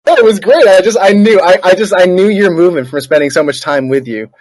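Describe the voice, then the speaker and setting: A man's voice: high voice, quick pace, a little mellow. A man joining a voice chat as a guest, chatty and teasing.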